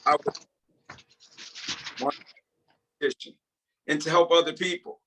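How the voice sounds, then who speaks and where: Humble reformed prisoner, read by a man, lighter-pitched, sincere and deferential, halting script reading. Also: animated, gravelly, a bit bright, slight background noise, exaggerated voice, rough recording. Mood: reflective, remorseful. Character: repentant male prison inmate and martial arts teacher, earnest, humble. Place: video call.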